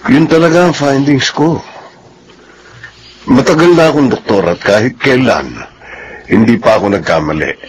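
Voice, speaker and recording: An actor playing a grave, self-assured doctor in a radio drama, measured in delivery. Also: male voice, mid-range voice, a little hiss or hum, natural voice, poor audio. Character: grave, sure-of-himself male doctor.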